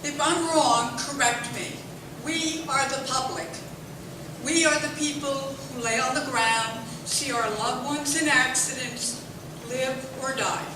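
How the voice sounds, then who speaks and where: Woman audience member, high voice, slow and impassioned, distant mic in a reverberant room. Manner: passionate, urging, loud. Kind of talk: impassioned public comment. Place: public library meeting room. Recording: echoey room from far off with noise.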